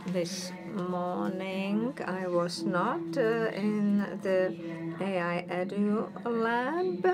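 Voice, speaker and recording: A woman giving a formal conference talk remotely over a video call, heard amid a loud audience. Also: medium pitch, animated, very slow. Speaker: speaker joining a conference remotely. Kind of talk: conference presentation. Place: hospital room, speaking into a conference hall.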